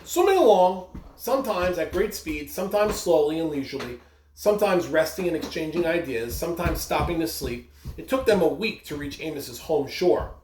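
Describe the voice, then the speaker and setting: Storybook reader for a kids' read-aloud, expressive delivery, mid-pitched, roomy sound with noticeable background noise. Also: moderate pace. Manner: expressive, with brief asides to listeners.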